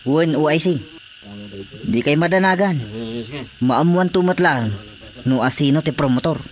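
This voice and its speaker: A man's voice: fairly high pitch, measured pace, crowd noise, natural voice. A man acting a deferential, nosy village watchman in an Ilocano radio comedy drama, with an Ilocano Filipino accent. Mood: agreeable.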